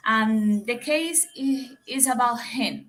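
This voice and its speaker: A woman with a Dominican Spanish accent and a fairly high voice speaks halting, careful English on a video call. She reads slowly and pauses often to find her words. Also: fairly bright tone. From Dominican Republic. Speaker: caller.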